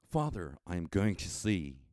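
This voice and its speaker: A man's voice: low voice, very animated, measured pace, a little mellow, no room sound, clean studio sound. A man voicing earnest children bidding farewell in a storybook, speaking in plain declarations.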